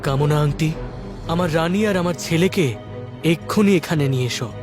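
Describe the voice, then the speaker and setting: A man's voice: fairly high pitch, moderate pace, natural voice. A man voicing an impassioned fairy-tale king in a cartoon, with a theatrical, emotional delivery. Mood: commanding. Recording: loud music bed. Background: music.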